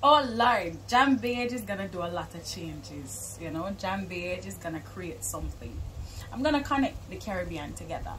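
A young adult woman with a Jamaican accent, chatting in an animated, informal way as a musician being interviewed. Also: lowish voice, normal speed, slight background noise, poor audio. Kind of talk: casual interview chat. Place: outdoors on a porch by a garden.

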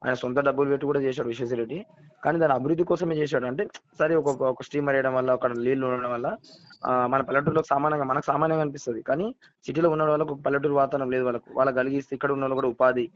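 A man's voice: mid-range voice, fairly even intonation, racing, dry recording. A man with a Telangana Telugu accent talking casually and earnestly as a caller, often repeating words and phrases. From Telangana, India. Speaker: caller, local voter. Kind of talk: casual phone chat. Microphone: phone call. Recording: dull, band-limited phone call audio.

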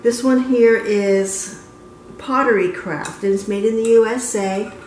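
A woman's voice: fairly high pitch, fairly even intonation, measured pace, a little mellow, poor audio. Woman in her 50s rambling about her thrift finds, lots of ums, little bit of hiss behind her. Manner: chatty, with frequent ums and self-corrections. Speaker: antique booth vendor. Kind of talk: casual show-and-tell vlog. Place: living room, then car and antique mall.

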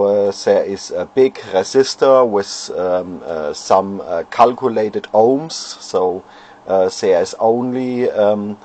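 A man, an amateur radio operator showing his homebrew gear at home, pausing often and searching for words. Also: fairly low pitch, darkish tone. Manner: halting, many fillers, searching for words. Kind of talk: casual equipment demo. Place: home radio shack, indoors. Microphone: handheld camera.

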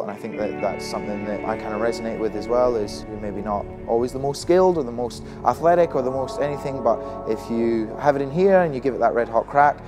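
A young man from Scotland who grew up in Australia, a Muay Thai fighter and coach, talking casually in a gym interview with many fillers. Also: medium pitch. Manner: quick, chatty, many fillers and restarts. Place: boxing gym, indoors. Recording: music bed under voice.

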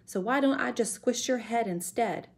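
A woman voicing a gleefully menacing boy villain in a children's storybook, taunting and yelling. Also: medium pitch, normal speed, lightly acted. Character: gleeful, bug-hating bully boy villain.

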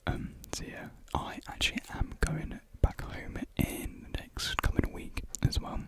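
A young man speaks in a breathy, hushed whisper, chatting casually and informally as an ASMR host close to a desktop microphone. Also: measured pace. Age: young adult. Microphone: desktop USB microphone up close.

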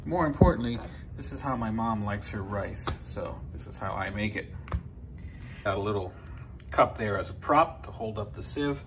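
A man's voice: fairly low pitch, expressive, normal speed. A man in his fifties from Peru, hosting a home cooking video, explaining each step in a conversational way with frequent fillers and self-corrections. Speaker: home cooking channel host. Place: home kitchen. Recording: noisy home kitchen recording.

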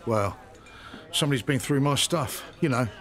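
An actor playing a gruff, troubled middle-aged British ex-policeman and landlord in a radio soap, with colloquial speech. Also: mid-range voice, normal speed, a bit bright, faint music behind, close to an everyday voice. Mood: uneasy, evasive. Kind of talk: naturalistic radio soap acting.

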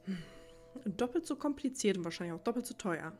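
A woman's voice: fairly high pitch, expressive, brisk, darkish tone. A woman giving casual let's play commentary, rambling and thinking aloud as she plays. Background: faint game music.

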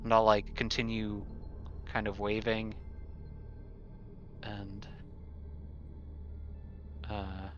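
A man playing a tabletop role-playing game, speaking casually in a hesitant, halting way. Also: lowish voice, level tone, a bit bright, highs cut off, soft background music. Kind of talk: casual improvised role-play.

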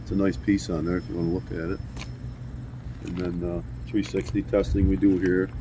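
A man's voice: sits low, little pitch movement, brisk. A middle-aged, plain-spoken man casually explaining practical shop know-how as an equipment tester. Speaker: sawmill factory worker and equipment tester. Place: workshop and outdoor yard. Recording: distant noisy on-location camera audio.

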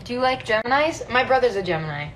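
A woman's voice: a little high, animated, quick pace. A young woman chatting casually on a livestream in her home kitchen, reading fan questions aloud and answering them thoughtfully with many "um"s. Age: young adult. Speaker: singer hosting a livestream. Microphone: phone held close, front camera. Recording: distant phone audio with background noise.

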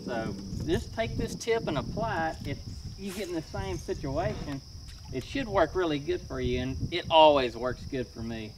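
Man, fishing vlogger; relaxed plain-spoken tips, slightly high voice, distant outdoor mic with noise. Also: moderate pace. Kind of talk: casual outdoor how-to talk. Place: outdoors in a marsh, on a boat.

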